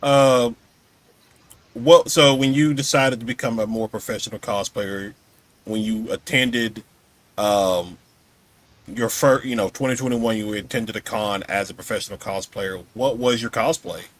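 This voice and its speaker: A man's voice: on the low side, measured pace. A man hosting a podcast, asking questions in a casual, conversational interview style.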